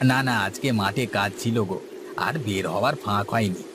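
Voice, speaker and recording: A man's voice: fairly quick, close to an everyday voice. A man playing a friendly villager in a cartoon story, chatting in a neighbourly, folksy way. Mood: curious, friendly. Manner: neighbourly, folksy, repeating words. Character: friendly male villager hailing a boatman. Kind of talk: Bengali cartoon story dialogue. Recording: noisy ambience with vehicle-like rumble.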